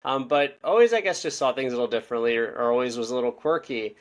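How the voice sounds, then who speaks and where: A young man in his twenties from Israel, chatting casually as a podcast guest, rambling and correcting himself as he goes. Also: medium pitch. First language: Russian. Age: 20s. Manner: fast, rambling, self-correcting. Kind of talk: casual interview talk.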